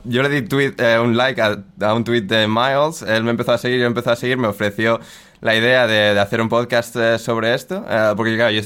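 A man's voice: medium pitch, fairly bright tone, clean tone. A man hosting a podcast interview, chatty and rambling as he asks questions. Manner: chatty, rambling with fillers and restarts. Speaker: podcast host.

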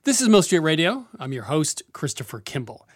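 A man's voice: on the high side, sing-song, a little fast, husky, no room sound. A man hosts a radio interview, asking questions in an easy, curious way. The recording is dry and close, with no room sound. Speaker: radio show host.

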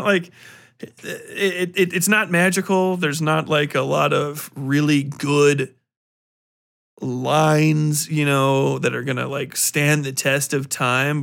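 A man talking casually as a podcast host reviewing books, slangy and full of swearing, animated and given to acting out mock exchanges. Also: fairly high pitch, gravelly. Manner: profane, slangy, informal. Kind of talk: casual rambling book review talk.

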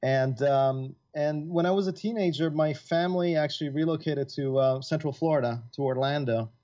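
A man's voice: on the high side, moderate pace, dark tone. Man, from Italy, middle-aged, rambling with fillers, dull lo-fi recording. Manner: rambling, many fillers and restarts. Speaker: guest composer. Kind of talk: casual interview chat. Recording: dull lo-fi sound.

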